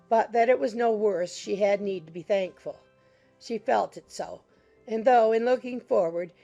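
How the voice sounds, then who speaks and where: A woman's voice: mid-range voice, gravelly. A woman reading literary narration for a dramatized audiobook. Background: soft music.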